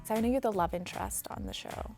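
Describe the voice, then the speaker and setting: An enthusiastic young woman from Canada interviews actors on a studio set, her voice at a mid pitch. She is effusive and quick to praise, with clear music playing beneath her. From Aurora area, Ontario, Canada. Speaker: entertainment interviewer. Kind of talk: casual interview.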